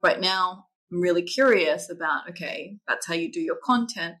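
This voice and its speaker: A woman's voice: fairly low pitch, moderate pace, sharp tone. A woman hosting a podcast, chatting in an enthusiastic, rambling way with an uneven pace. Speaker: podcast host. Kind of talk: casual interview talk.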